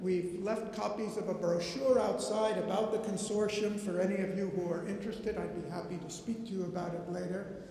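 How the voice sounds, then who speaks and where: A man's voice: sits high, steady tone, a little fast, dark tone. A man giving the polite, hesitant closing remarks of his conference talk. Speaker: conference speaker. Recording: echoey hall, faint noise.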